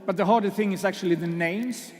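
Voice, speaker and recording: A man hosting an awards ceremony, joking with the audience in a halting way with many fillers, speaking English that is not his first language. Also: high voice. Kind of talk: speech to an audience. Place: large hall. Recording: long hall reverb.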